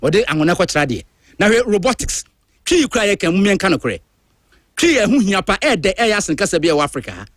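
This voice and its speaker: A Ghanaian man hosts a drive-time radio show, his voice pitched high for a man. He argues his points with emphatic, animated energy, moving freely between languages. The studio sound is dry and close, with no room around it. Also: fast, no echo. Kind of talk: opinionated talk-radio monologue.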